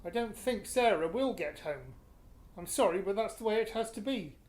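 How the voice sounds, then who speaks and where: An actress playing a blunt, weary but loving partner in a radio drama. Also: female voice, mid-range voice, lively intonation, moderate pace, a little mellow, natural voice. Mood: stern. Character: weary, exasperated, loving long-term partner.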